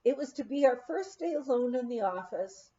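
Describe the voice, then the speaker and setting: Woman memoir reader, higher voice, mellow tone; gentle storytelling, light character voicing; dull lo-fi recording. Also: expressive, normal speed. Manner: gentle storytelling, voicing family members in dialogue. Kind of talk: audiobook-style memoir reading.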